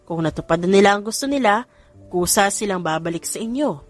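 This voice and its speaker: A woman's voice: medium pitch, lively intonation, a little fast, soft background music, natural voice. Woman playing a firm Filipino mother. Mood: proud, advising. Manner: admonishing, principled. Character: protective, firm mother of grown children.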